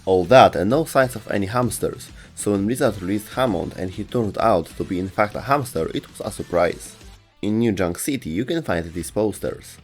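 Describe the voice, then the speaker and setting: A man with a lowish voice narrates a scripted video as host. His pitch moves in a lively way, and soft music plays behind him. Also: moderate pace, slightly rough.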